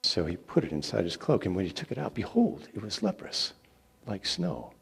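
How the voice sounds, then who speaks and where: A man reading scripture as an expressive, theatrical narrator. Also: lowish voice, normal speed, gravelly, husky. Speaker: scripture reader.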